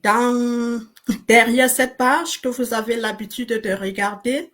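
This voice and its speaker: Slow, hesitant woman hosting a live interview. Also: on the high side, steady tone, fairly bright tone. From Cameroon. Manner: slow and deliberate, with hesitant fillers. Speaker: host.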